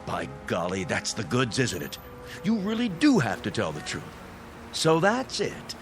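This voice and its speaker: A man's voice: mid-range voice, wildly swooping pitch, unhurried, faint music behind. A man playing a folksy, wily local politician in an audio drama, blustering and full of old-fashioned slang. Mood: incredulous. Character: folksy, wily local politician haggling over a used car.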